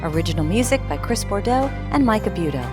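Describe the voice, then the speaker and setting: A woman's voice: mid-range voice, lively intonation, fast talker, music in the background. A woman reading a scripted announcement as the announcer of an audio production. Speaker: credits announcer.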